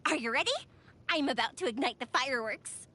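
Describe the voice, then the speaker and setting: An actress voicing a cheerful, excitable young woman who makes fireworks in a video game, playful and expressive. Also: high voice, fairly quick, a little hiss or hum. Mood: warm, celebratory. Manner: bubbly, playful, expressive storytelling. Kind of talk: video game character voice acting.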